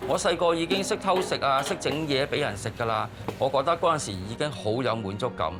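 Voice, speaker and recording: Male interviewee from Myanmar, warm reminiscing tone, music bed. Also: medium pitch, a little fast. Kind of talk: casual interview. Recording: music underneath.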